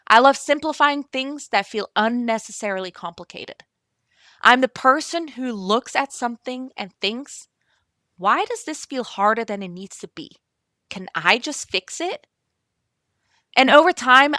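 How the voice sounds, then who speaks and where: A middle-aged woman from Belgium hosting her podcast, speaking gently and encouragingly straight to the listener in a calm scripted monologue. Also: on the high side, dry recording, studio quality. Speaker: podcast host and operations consultant.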